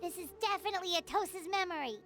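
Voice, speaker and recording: A woman voicing a small, excitable childlike fairy companion in a video game, squeaky and chattering eagerly. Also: racing, over music. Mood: excited.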